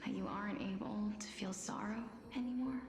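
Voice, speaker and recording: An actress playing a gentle, sorrowful young lady in a video game, speaking solemnly and formally. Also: medium pitch, steady tone, brisk, vocal fry, lightly acted. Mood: mournful. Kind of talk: dramatic video game acting. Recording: echoey, music underneath.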